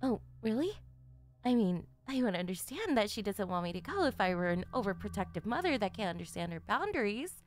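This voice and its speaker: A woman's voice: mid-range voice, big pitch swings, brisk, close to an everyday voice. A woman voicing a doting, obsessive yandere mother, chatty and sugary, in an ASMR roleplay. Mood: gushing, cheerful.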